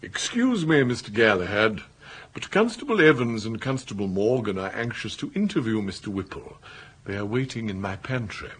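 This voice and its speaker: A stately English butler in a radio comedy. He is pompous and deferential, with a husky voice that rises and falls expressively under stiff formality. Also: lowish voice, animated, normal speed. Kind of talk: radio comedy drama acting.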